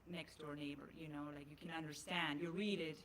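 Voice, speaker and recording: A woman's voice: low voice, steady tone, brisk, a bit bright, slight background noise. A woman with a German accent, co-hosting a podcast in casual chat, often restarting her sentences as she works through her ideas. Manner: talks through ideas with frequent restarts and fillers. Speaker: podcast co-host.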